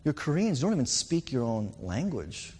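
A man's voice: medium pitch, big pitch swings, normal speed, a little mellow, breathy edge, big-room sound. A middle-aged man with a New York accent, preaching a sermon as pastor and explaining with rising and falling emphasis.

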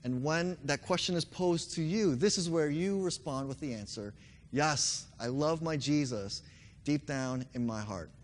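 A young man preaching a sermon with a hoarse voice, earnestly leading his congregation in song. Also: on the high side, measured pace. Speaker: pastor. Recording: long reverb hall.

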